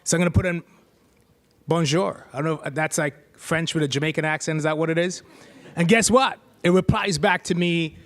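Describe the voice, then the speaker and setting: Middle-aged male presenter, high-pitched, playful and chatty, live demo with handheld mic. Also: fairly even intonation, a little fast, a bit bright. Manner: playful, chatty, jokes with the crowd. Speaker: presenter giving a tech demo. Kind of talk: live stage demo to an audience. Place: stage at a tech meetup.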